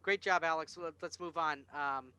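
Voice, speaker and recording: Bright-voiced, emphatic man talking films. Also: a little high, moderate pace, smooth, no echo. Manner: emphatic, opinionated, profane. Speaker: podcast host. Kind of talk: casual film discussion.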